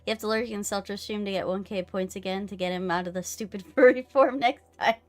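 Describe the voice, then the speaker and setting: A woman's voice: mid-range voice, steady tone, quick pace. A woman talking casually and teasingly as she hosts a gaming live stream. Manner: playful, teasing. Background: music.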